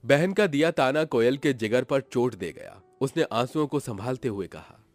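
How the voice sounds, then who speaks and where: Male narrator, animated delivery, dramatic family moral tale, soft music bed. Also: mid-range voice, moderate pace, no echo. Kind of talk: dramatic scripted story narration.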